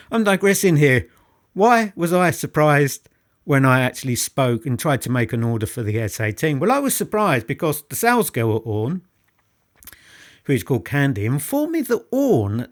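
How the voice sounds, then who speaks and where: A man giving an enthusiastic, unscripted product talk, emphatic and repetitive, putting rhetorical questions to the listener. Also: fairly high pitch. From United Kingdom. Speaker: hi-fi product reviewer and presenter.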